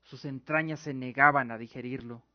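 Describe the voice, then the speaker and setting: A man's voice: mid-range voice, steady tone, normal speed. A man narrating a scripted fantasy radio drama in a solemn storytelling manner. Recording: dull lo-fi audio with music behind. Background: music.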